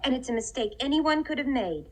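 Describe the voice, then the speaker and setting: Chatty, naive young receptionist, highish voice. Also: female voice, lively intonation, fairly quick. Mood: chatty, helpful. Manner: rambling, sweetly polite, sincere. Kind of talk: 1950s radio comedy acting. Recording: vintage 1950 radio broadcast.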